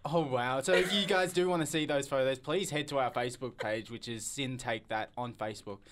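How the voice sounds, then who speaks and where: A man's voice: medium pitch, fairly quick, very noisy. A young man co-hosting a radio show with casual, playful, teasing banter.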